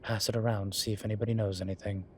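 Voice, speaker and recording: A man acts out a wry, tired demon professor with a fairly low voice. He speaks in measured, drawn-out phrases, as in an intimate roleplay audio drama. Ambient noise sits behind the voice. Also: little pitch movement, close to an everyday voice. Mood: dry, resolved. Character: weary, wry incubus demon professor, male. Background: ambient sound effects.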